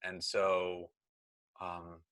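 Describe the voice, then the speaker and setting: A man's voice: low voice, monotone, drawn-out words, fairly bright tone, no room sound, clean studio sound. A middle-aged American man chatting in a work meeting on a video call, explaining things and often correcting himself. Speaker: meeting leader, school technology administrator. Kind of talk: casual work meeting chat.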